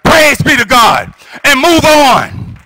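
American male pastor, middle-aged, very high pitch, piercingly bright and forceful, emphatic exhorting sermon delivery. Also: big pitch swings, slow, creaky, dry recording, rough recording. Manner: emphatic, exhorting, often rising into shouts. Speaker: pastor preaching. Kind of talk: impassioned church sermon. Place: church sanctuary, at the pulpit. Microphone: gooseneck pulpit microphone.

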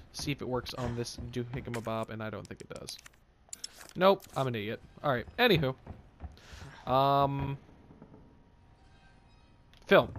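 Goofy, animated man playing a game. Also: mid-range voice, slight background noise. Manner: playful, goofy, talks and sings to himself. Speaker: video game streamer. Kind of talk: casual let's play commentary.

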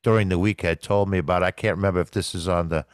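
A man's voice: low voice, fairly even intonation, quick pace, smooth, no echo, studio quality. A middle-aged man from the Bronx with a New York accent, hosting an interview over a video call, jokey and easygoing. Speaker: podcast host. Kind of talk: casual interview chat. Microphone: studio mic with headphones.

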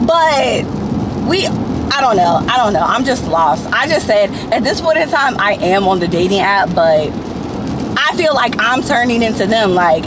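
Blunt woman ranting in a car. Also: medium pitch. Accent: Southern US. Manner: animated, blunt, swears freely. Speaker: vlogger. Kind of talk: casual rant to camera. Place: inside a parked car. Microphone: phone or camera on the dashboard. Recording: noisy car interior.

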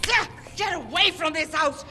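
Shrill, fierce older housekeeper. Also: female voice, expressive, moderate pace, pushed and bright, exaggerated voice. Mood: fearful, furious. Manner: dramatic, accusing. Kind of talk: video game voice acting. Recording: echoey hall with music behind.

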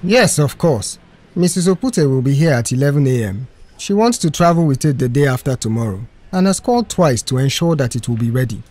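A man voicing a quiet, unassuming middle-aged mechanic in an audio story, obliging and matter-of-fact. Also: medium pitch, natural voice.

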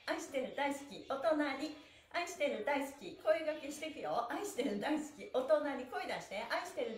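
A middle-aged woman leading a stretching class with energetic, encouraging cues, often in a rhythmic chant. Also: high voice. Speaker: stretching instructor and livestream host. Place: small bare-walled indoor room. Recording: echoey room.

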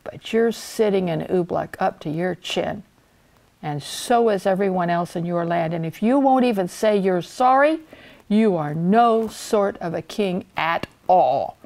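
A woman's voice: fairly low pitch, measured pace, gravelly, a little mellow. A woman voicing an earnest young page boy in a storybook, blunt and urgent as he speaks up. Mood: firm, reproachful. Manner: urgent, blunt, plain-spoken.

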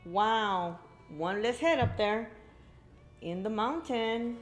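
A middle-aged woman reads a picture book to young children. Her pace is slow and her pitch swings in lively, expressive arcs, with exclaimed asides to the listeners. Faint music can be heard. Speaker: librarian reading at children's story time. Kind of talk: read-aloud storytelling for young children. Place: indoor library room with bookshelves.

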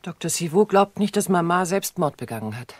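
Radio drama: devoted daughter under suspicion. Husky, anxious, defensive, pleading. Dry recording. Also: female voice, lowish voice, expressive, brisk, no echo. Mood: distressed, indignant. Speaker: doctor's suspected patient's daughter.

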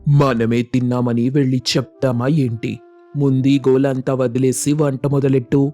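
A man's voice: mid-range voice, level tone, unhurried, dark tone, soft background music, natural voice. A man playing a food-loving, stubborn village husband in a moral story, speaking colloquially in a pleading, grumbling way. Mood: irritated. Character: food-obsessed, stubborn village husband craving chicken pulao. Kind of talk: Telugu moral story voice acting.

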